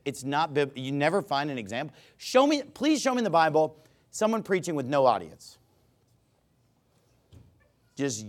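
A pastor delivering an emphatic, repetitive sermon, mocking at times. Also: male voice, medium pitch.

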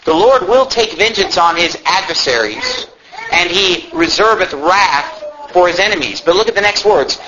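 A man's voice: high-pitched, lively intonation, moderate pace, a crowd in the background. An American man preaching a sermon, speaking emphatically with rhetorical questions and repetition to drive points home. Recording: muffled lo-fi with faint background noise.

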